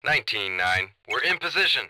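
A man playing an excitable pursuit officer in a video game, reporting in clipped, tense phrases over police radio. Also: medium pitch, brisk, dry recording. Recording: noisy police radio, clicks.

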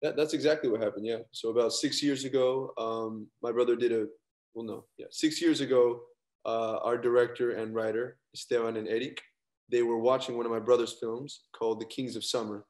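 A young man, an actor, chatting casually over a video call in a relaxed, rambling way. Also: mid-range voice, brisk. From Colombian family. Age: young adult. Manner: laid-back, rambling, trailing off. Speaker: actor guest. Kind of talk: casual interview chat. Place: indoor room, video call.

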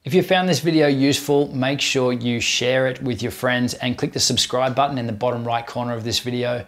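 A man in his thirties narrating a scripted how-to tutorial, giving clear step-by-step instructions as the host. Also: mid-range voice, fairly even intonation, a little fast, fairly bright tone.

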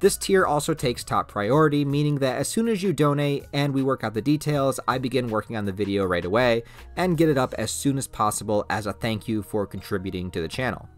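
A man's voice: medium pitch, expressive, fairly quick. Casual man narrating over faint music. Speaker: YouTube reviewer and channel host. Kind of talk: casual scripted promo narration.

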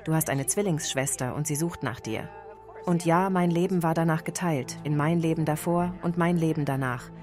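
Low-voiced woman in a TV interview. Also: normal speed, a little mellow, faint music behind. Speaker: interview guest. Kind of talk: television studio interview. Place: TV studio set.

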